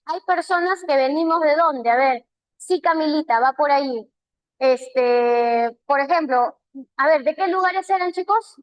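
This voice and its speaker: A woman with a Peruvian Spanish accent teaching a live online language class, answering students' questions and praising them warmly by name. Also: high voice, no room sound, rough recording. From Peru. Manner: asks many rhetorical check questions.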